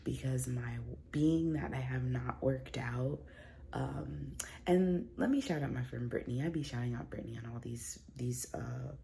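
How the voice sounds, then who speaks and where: Low, gravelly congested woman vlogging. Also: normal speed, dark tone. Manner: rambling, chatty, many fillers and restarts. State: congested from a recent cold. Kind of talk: casual chatty vlog. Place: at home. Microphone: phone camera.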